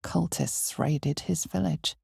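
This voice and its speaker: A grave, ominous female narrator for a dark fantasy video game. Her voice sits very low with a gravelly fry at its edges. She delivers each scripted line slowly and dramatically, with measured weight. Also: no room sound, studio quality, heavily acted. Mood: measured, solemn.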